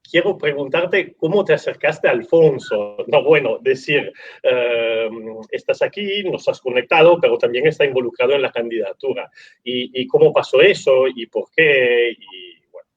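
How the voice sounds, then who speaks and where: A man's voice: mid-range voice, unhurried, husky, dull audio. An Italian man hosting a casual interview in Spanish, speaking with an Italian accent and many restarts and fillers. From Italy. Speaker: host.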